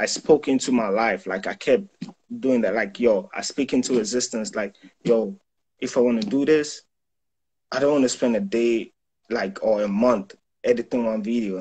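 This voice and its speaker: Husky young man on a video call. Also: mid-range voice, darkish tone, poor audio. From Ghana. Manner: casual slangy talk with many "yo" and "you know what I'm saying". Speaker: guest photographer and videographer. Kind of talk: casual interview chat. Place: indoors, small room.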